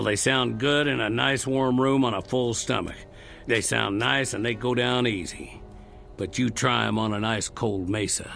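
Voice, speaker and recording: An actor playing a ruthless, bitter Old West outlaw with a folksy, contemptuous drawl in an old television drama. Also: male voice, lowish voice, vocal fry, a bit bright. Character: ruthless, bitter 1880s western outlaw, hardened and menacing.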